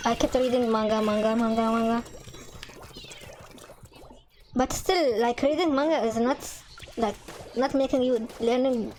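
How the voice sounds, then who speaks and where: Young woman, native Arabic speaker, accented English, slightly high voice, casual halting gamer chat. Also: fairly even intonation, darkish tone, slight background noise. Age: young adult. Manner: halting, many restarts and fillers. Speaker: gaming channel host. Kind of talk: casual chat over gameplay.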